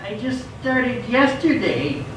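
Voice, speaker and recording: A man's voice: extremely high pitch, animated, slow pace, heavily acted. A man playing an earnest, bumbling rookie superhero in a comic radio play, pompous and declamatory but easily flustered. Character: earnest, bumbling novice male bee-costumed superhero. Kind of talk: stage radio theatre comedy acting. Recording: echoey hall, distant mic, faint noise.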